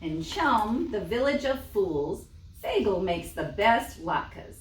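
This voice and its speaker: A woman reading a children's story as a library storytime host, expressive and speaking in simple short phrases. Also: mid-range voice, takes it slowly, a little hiss or hum. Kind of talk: animated children's storytime narration. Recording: echoey room, distant mic.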